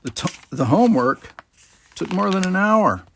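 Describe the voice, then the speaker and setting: A man's voice: sits high, sing-song, unhurried, creaky, a bit bright, slight background noise. A man reading a story aloud as scripted audiobook narration. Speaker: amateur audiobook reader.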